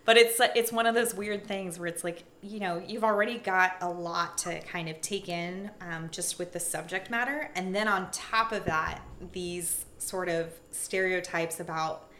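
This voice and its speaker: A young woman talks casually in a slightly low but bright, forward voice. She is thoughtful and pauses often, restarting her sentences. Her fillers make it sound unscripted. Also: a little hiss or hum. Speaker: prosecutor, podcast guest. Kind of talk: casual conversation.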